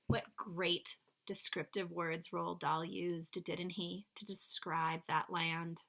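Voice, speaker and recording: A woman reading a children's story aloud expressively, with dramatic pauses, speaking to young listeners. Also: lowish voice, flat delivery, creaky, sharp tone, poor audio.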